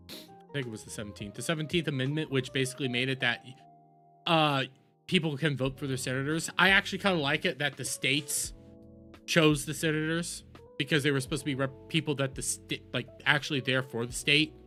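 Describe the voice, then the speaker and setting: A man giving a casual, exasperated political rant as a streamer, halting and repeating words, sometimes swearing. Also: mid-range voice, sharp tone, soft background music. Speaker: streamer, host.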